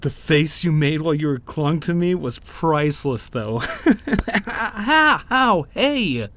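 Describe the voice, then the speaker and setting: A man playing a teasing, warm-hearted young friend in an audio roleplay, conversational and given to playful grumbling. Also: fairly high pitch, lively intonation, slow. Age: young adult. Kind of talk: audio roleplay acting, addressing the listener.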